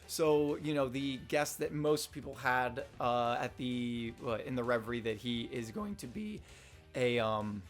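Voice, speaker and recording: Man, young adult, slightly hoarse, mid-range pitch, casual rambling review, faint music behind. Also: unhurried. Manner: rambling, many fillers and restarts. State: slightly hoarse, losing his voice. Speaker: manga review vlogger. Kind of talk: casual unscripted commentary.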